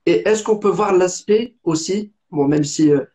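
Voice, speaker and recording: High-pitched young man in his twenties, casual and rambling, with many restarts. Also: a little slow, warm tone. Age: 20s. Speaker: interviewer. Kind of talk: conversational religious discussion.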